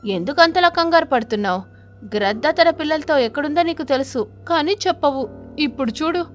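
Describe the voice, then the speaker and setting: A woman voicing a vengeful female ghost sparrow in an animated children's tale, threatening and accusing as she interrogates. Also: sits high, fairly quick, soft background music, voice pushed up. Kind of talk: animated children's story character voice.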